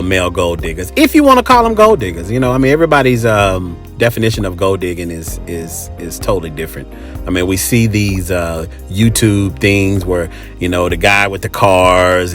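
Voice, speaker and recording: A man's voice: on the low side, a bit bright. An American man hosting a podcast in a casual, chatty monologue, talking colloquially and emphatically and repeating phrases for effect. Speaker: podcast host.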